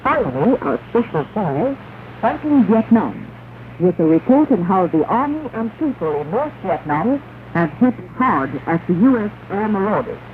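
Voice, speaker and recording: A woman radio announcer reads a formal, measured, declamatory propaganda news bulletin on a 1960s shortwave broadcast. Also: mid-range voice, very animated. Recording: narrow, noisy 1960s shortwave radio recording.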